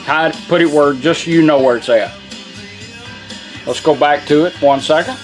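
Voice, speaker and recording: A man with a fairly high-pitched voice shows how a device works. He is casual and folksy, taking it step by step, while guitar music plays clearly behind him. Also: normal speed. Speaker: presenter demonstrating a car radio. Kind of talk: casual hands-on product demonstration.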